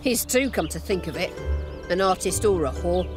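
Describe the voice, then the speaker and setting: An actress playing a fiery, sharp-tongued townswoman in a video game, speaking in a blunt, earthy, expressive way. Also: lowish voice, normal speed, natural voice. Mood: scornful, indignant. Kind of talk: video game cutscene acting. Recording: music behind the voice.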